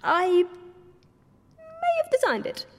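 A chirpy, sheepish engineer. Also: female voice, very high voice, wildly swooping pitch, slow, a bit bright, in a large hall, heavily acted.

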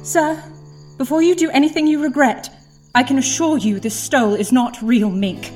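High-voiced genteel Victorian lady, played by a woman. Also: normal speed, darkish tone, soft background music, close to an everyday voice. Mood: timid, pleading. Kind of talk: comedic audio drama acting.

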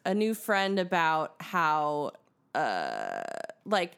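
A woman's voice: low voice, huge pitch swings, very slow, gravelly, a bit bright, clean tone. A woman co-hosting a podcast, chatting casually, rambling with fillers and restarts and joking at her own expense. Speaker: podcast co-host. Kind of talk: casual chat.

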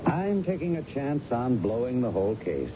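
An actor playing a blunt, confident police lieutenant with controlled authority in a radio drama. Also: male voice, big pitch swings, moderate pace, lightly acted. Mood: firm. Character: firm, confident police lieutenant offering leniency. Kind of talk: 1970s radio drama acting. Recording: dull, noisy, rumbling 1970s radio recording.

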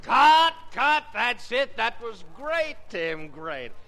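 Echoey old radio drama sound. a brisk, bossy film director with a very high, sharp voice, calling out to the set. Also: male voice, big pitch swings, faint music behind, heavily acted. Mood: brisk, pleased. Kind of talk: 1950s radio acting. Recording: echoey vintage radio, off mic.

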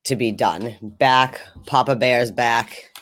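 A man's voice: medium pitch, slow pace, fairly bright tone. Man chatting casually on a sports podcast. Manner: rambling, conversational, thinks aloud.

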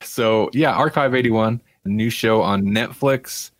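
A man with a fairly low voice co-hosts a casual review podcast. He talks in a rambling, conversational way, and the sound is dry with very little room in it. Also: unhurried, dry recording. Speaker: podcast co-host. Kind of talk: casual review chat about TV.

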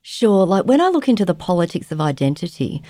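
A woman in her forties talks through her life story as a podcast guest. Her voice sits low and warm. The recording is dry and close, with no room sound. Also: very animated, no room sound. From Vietnam, raised in Australia. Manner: measured, reflective storytelling. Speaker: guest, trauma therapist. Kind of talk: interview conversation.

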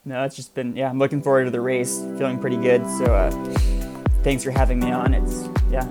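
Understated man over loud music. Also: medium pitch, level tone, a little fast. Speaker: podcast guest, runner. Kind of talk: casual chat.

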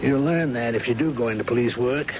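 An actor playing a patient senior police detective, deliberate and explanatory, in a 1970s radio drama style. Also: male voice, medium pitch, natural voice. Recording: dull, noisy old radio broadcast.

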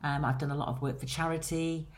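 A middle-aged woman with a Lancashire accent, chatting casually as a podcast guest and filling pauses with ums. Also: deep voice, steady tone. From England. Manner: chatty, rambling, many ums. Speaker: guest, celebrity agent.

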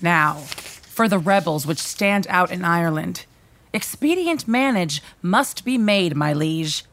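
A woman's voice: lowish voice, lively intonation, slow pace, fairly bright tone. A woman playing a shrewd, deferential royal courtier, giving businesslike advice in verse in a Shakespearean radio drama. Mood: measured, advising.

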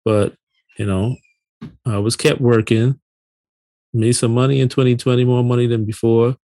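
A man in his late thirties, originally from Barbados, chats casually as a podcast co-host. His voice sits at a middle pitch, recorded very dry with next to no room sound. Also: level tone, normal speed, warm tone, no echo. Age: late 30s.

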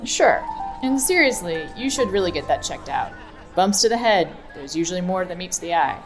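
A woman's voice: on the low side, animated, moderate pace, natural voice, low-quality recording. A woman playing a brash, slangy young local cop in an audio drama, with a casual, teasing manner. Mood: brash, animated. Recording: loud music under the voice. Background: loud music.

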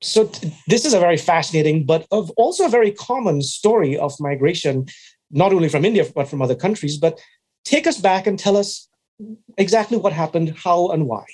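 A man hosting a podcast, asking his guest measured, curious questions. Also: high voice, expressive, darkish tone. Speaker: podcast host. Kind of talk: interviewer questions.

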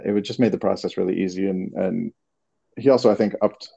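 A man's voice: sits low, fairly even intonation, a little fast, gravelly, dark tone, slightly rough, highs cut off. A man from Canada with a Canadian accent, answering interview questions casually and hesitantly, with many restarts. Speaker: creative director, guest.

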